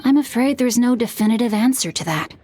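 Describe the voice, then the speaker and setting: A woman playing a studious, well-spoken teenage boy who explains things calmly and articulately in an anime video game. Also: on the high side, fairly quick, soft background music, lightly acted. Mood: calm, learned.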